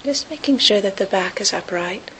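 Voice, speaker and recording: A woman teaching a guided meditation in a calm, unhurried, gentle manner with long pauses. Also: mid-range voice. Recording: hissy recording with clear background noise.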